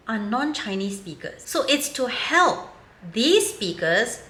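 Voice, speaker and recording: A woman speaks at a middle pitch, candid and wry as she lays out her opinion. Her voice sounds roomy, with a noticeable echo around it. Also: pushed and bright. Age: 50s. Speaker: vlogger. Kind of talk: opinion commentary vlog.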